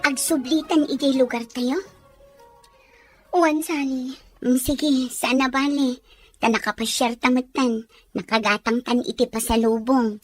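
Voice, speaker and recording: A voice actress plays a wistful young Filipino woman in a romantic radio drama. She speaks slowly in a high voice, with faint music behind. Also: steady tone, dry recording. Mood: wistful, confiding.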